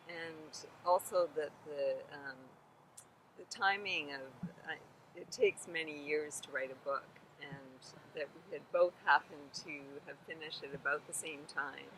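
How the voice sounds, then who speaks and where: Low-pitched woman author presenting her novel. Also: a bit bright, slight background noise, low-quality recording. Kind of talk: informal spoken introduction to a book.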